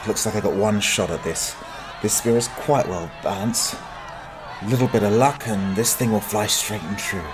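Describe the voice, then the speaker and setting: A man voicing a gruff, sardonic, down-at-heel adventurer in a fantasy audiobook, grumbling in exasperation. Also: on the low side, normal speed, natural voice, rough recording. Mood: tense focus. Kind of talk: dramatized fantasy audiobook acting.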